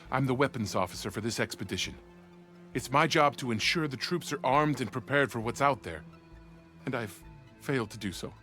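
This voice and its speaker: A man's voice: mid-range voice, fairly bright tone, close to an everyday voice. An actor playing a formal, anxious male weapons officer in a video game, earnest and deferential in his manner. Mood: pleading, anxious. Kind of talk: video game cutscene acting. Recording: music behind the dialogue.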